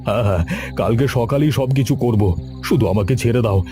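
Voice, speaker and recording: A man's voice: mid-range voice, fast talker, music in the background, natural voice. A man playing a cowardly village landlord in a horror cartoon, pleading and bargaining. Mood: desperate bargaining. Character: cowardly oppressive male village landlord, now desperate. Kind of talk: Bengali horror cartoon voice acting.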